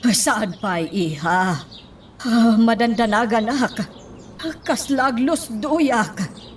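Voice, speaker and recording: A woman's voice: a little high, extremely slow. An actress with a Filipino accent playing an anxious, pleading mother in a radio drama, drawing out her words. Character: anxious, pleading middle-aged mother, protesting innocence. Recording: distant mic with clear background noise.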